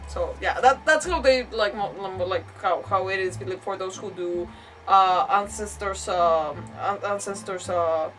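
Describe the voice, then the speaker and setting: A woman's voice: medium pitch, a little slow, a bit bright, far from the mic, soft background music, rough recording. A woman live-streaming a game, commenting in an informal, reactive way and rambling through her explanations. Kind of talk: casual live let's-play commentary.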